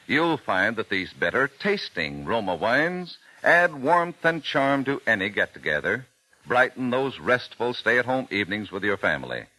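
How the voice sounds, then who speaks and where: A man's voice: fairly low pitch, very animated, a little slow, pushed and bright, poor audio. A man announcing a sponsor's message in polished, emphatic 1940s radio advertising style.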